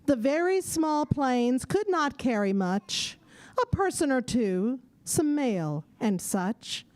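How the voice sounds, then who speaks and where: A woman's voice: sits high, sing-song, slow pace, darkish tone. A woman narrating a rhyming children's story in an audio theater style.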